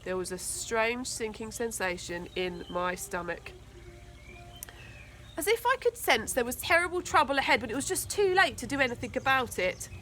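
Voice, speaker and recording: Bright woman reading a story. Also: a little high, big pitch swings, moderate pace, slight background noise. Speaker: school teaching assistant reading aloud. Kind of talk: expressive children's storybook narration.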